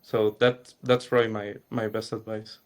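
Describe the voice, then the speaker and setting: A man's voice: on the low side, little pitch movement, moderate pace. Easygoing young man, thoughtful. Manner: thoughtful, hesitant, many fillers and restarts. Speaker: student answering audience questions. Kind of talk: webinar Q&A answers.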